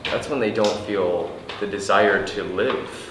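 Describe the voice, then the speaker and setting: Low-voiced man in an echoey, noisy room. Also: unhurried, recorded from a distance. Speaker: actor at a table read. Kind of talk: earnest group discussion. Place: indoor room with a group.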